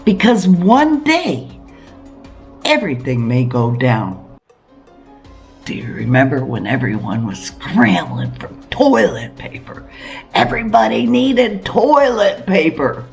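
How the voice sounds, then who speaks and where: A woman's voice: deep voice, sing-song, slow pace, creaky, a little mellow, soft background music. A woman hosting a homesteading video, talking straight to viewers in an earnest, emphatic way with rhetorical questions. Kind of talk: direct-to-viewer preparedness talk.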